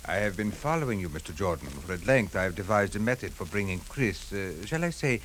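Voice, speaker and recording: An actor playing a menacing, courtly foreign villain, formal and commanding, in a 1950s radio drama style. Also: male voice, sits low, big pitch swings, a little fast, fairly bright tone. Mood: suave menace. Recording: noisy 1950s radio broadcast recording.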